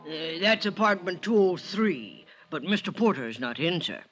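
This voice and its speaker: Fussy deferential building attendant, animated pitch, snooty, muffled 1950s radio sound. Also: male voice, normal speed, faint music behind. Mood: snooty politeness. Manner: prissy, deferential yet snooty. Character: stuffy, fussy male apartment-building attendant. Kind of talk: 1950s radio drama acting.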